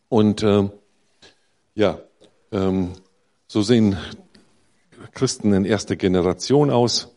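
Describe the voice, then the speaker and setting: Low-voiced man presenting his mission. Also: warm tone. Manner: halting, many fillers and restarts.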